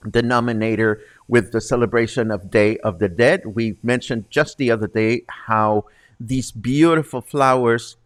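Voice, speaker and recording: A man's voice: fairly low pitch, no echo. Guy hosting a local news show, chatty, little wry asides, dry close sound. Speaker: host presenting news and interviews. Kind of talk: chatty presenting to viewers.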